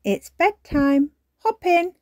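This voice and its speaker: A woman voicing a kindly, patient elderly grandmother pig in a children's storybook, coaxing and gently indulgent. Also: extremely high pitch, slow, dry recording, studio quality, voice pushed up. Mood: coaxing, fond.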